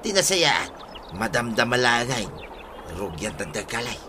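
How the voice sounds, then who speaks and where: A man's voice: medium pitch, big pitch swings, a little slow, heavy background noise. A man with an Ilocano accent playing a bossy, scheming village buddy in a radio comedy drama, coaxing in a conversational way. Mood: businesslike.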